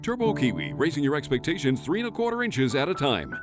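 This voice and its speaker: A man playing an excitable announcer with punchy sales patter in a parody radio advert for a futuristic gadget. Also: fairly high pitch, fast, music in the background. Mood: salesy, upbeat. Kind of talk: parody radio commercial in audio drama.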